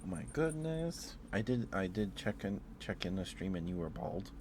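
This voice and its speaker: A man's voice: low-pitched, very animated, moderate pace, background noise. A man who streams ASMR, chatting casually and musing aloud in a hesitant, rambling way. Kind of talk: casual ASMR livestream chat.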